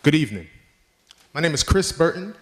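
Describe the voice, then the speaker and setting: A man's voice: a little high, sing-song, fairly quick. A man, a leadership trainer, giving an earnest prepared address that reads out statistics and lists. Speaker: youth leadership trainer and presenter.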